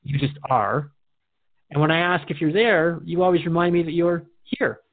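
A dry, narrow phone-like recording carries a man's slightly high voice. He talks as a radio host, conversational and earnest, with a reflective way of putting things. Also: moderate pace, dry recording.

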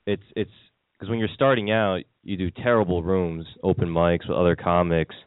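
A male guest comedian with a very low voice, answering earnestly and halting often. The sound is dry and narrow, like a phone call. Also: flat delivery, smooth, no room sound. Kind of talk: casual interview.

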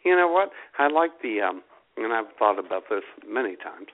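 A man's voice: fairly low pitch, moderate pace. A man co-hosting a comedy podcast in casual, jokey chat, heard through a tinny, phone-like recording. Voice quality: animated, jokey. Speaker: podcast co-host.

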